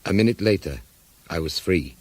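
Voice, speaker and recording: A man playing a policeman hero who narrates his escape in a measured, husky storytelling voice for an audio drama. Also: deep voice, expressive, darkish tone, no echo. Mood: measured, grave. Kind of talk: scripted first-person dramatic narration.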